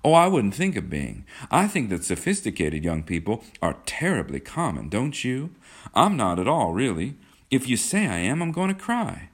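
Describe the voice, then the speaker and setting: A man acts out a naive rich teenage girl in a storybook. The delivery is gushing and earnest, proud yet coy. The pitch is lifted well up and moves about in lively swings. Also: normal speed, husky. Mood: distressed, earnest. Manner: gushing, ingenuous.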